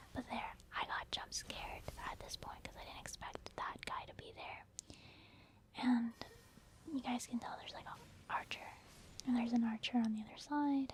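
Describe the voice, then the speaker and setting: A woman doing soft, whispered ASMR commentary over gameplay, chatting informally to her viewers. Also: fairly high pitch. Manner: informal, conversational, addressing viewers. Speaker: ASMR gaming creator.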